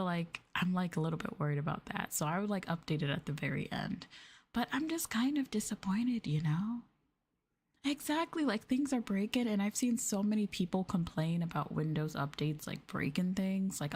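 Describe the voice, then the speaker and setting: A woman streamer talking softly and gently in an ASMR live chat, often dropping into a whisper, close to the microphone. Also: fairly low pitch, husky. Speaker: VTuber streamer. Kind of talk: soft-spoken ASMR livestream chat.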